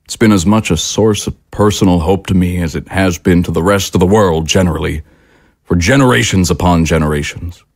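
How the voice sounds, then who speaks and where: A man's voice: sits low, no room sound, studio quality. A man playing a fervent, aggrieved patriotic political commentator in an audio drama, with an impassioned, oratorical delivery. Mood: earnest pride.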